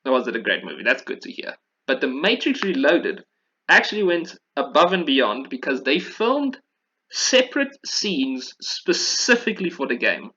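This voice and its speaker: A man's voice: fairly high pitch, sing-song, normal speed, sharp tone, lo-fi sound. A man chatting casually and enthusiastically about video games in an informal way, as a podcast host.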